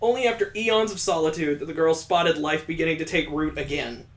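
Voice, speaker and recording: A man's voice: a little high, fairly quick. A man playing a story game, reading its text aloud and breaking in with wry, mocking asides. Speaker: let's play host.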